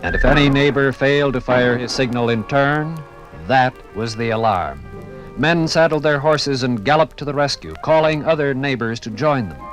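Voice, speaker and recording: A man narrating a 1950s radio documentary from a script in formal, dramatic, measured oratory. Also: medium pitch, low-quality recording. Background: music.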